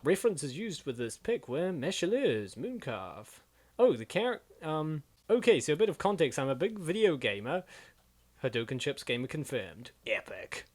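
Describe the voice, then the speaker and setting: Higher-voiced man, casual slangy chat. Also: big pitch swings, moderate pace, darkish tone. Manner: slangy, jokey. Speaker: co-host and comic reader.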